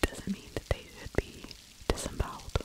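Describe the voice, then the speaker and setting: A middle-aged woman narrating true crime as ASMR in a breathy, unvoiced whisper, close to the microphone, conversational and opinionated, with frequent pauses. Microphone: close microphone with furry windscreen.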